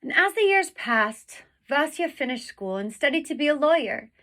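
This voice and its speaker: A woman reading a picture book aloud like a teacher, with deliberate, dramatic phrasing that leans on the vivid words. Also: high-pitched, very animated, bright, forceful tone. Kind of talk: expressive storybook read-aloud.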